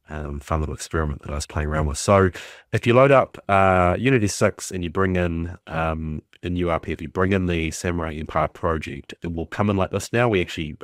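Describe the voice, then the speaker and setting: A man's voice: low-pitched, dry recording, clean studio sound. A man with a stuffy cold, casually talking through a tutorial, thinking aloud with lots of ums and uhs. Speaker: game developer teaching. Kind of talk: casual screen-recorded tutorial.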